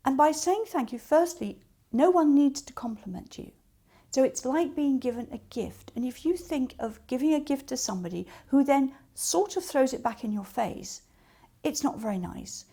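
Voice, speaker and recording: A woman's voice: sits high, animated, normal speed, warm tone. A woman speaking as a coach, giving practical advice step by step with illustrative examples. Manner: explains step by step with acted-out example remarks. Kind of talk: instructional talk with practical tips and examples.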